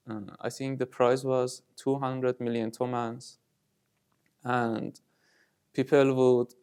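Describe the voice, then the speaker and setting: A man from Iran co-hosting a casual chat, measured and slow, with frequent fillers. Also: mid-range voice, a little mellow, smooth. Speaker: co-host.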